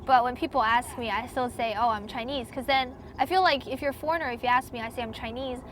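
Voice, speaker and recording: Young woman from Taiwan, casual interviewee, slightly high voice, loose and chatty with lots of "like", a light hiss of noise behind her. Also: fast, a bit bright. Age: young adult. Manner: chatty, many "like" fillers, hedging.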